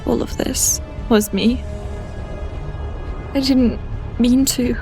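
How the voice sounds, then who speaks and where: A woman's voice: a little high, fairly even intonation, slow, dark tone. An actress playing a young woman mage who speaks in an anxious, pleading way in an audio drama. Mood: guilty. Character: young woman mage, frightened and guilt-stricken. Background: music.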